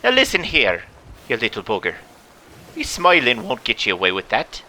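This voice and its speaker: A man's voice: medium pitch, wildly swooping pitch, moderate pace, bright, forceful tone, slight background noise, heavily acted, rough recording. A man voicing a blustery, theatrical pirate captain who spins adventure tales in exclamatory old seafaring talk. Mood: indignant. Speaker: storyteller and host. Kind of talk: in-character adventure game narration for children.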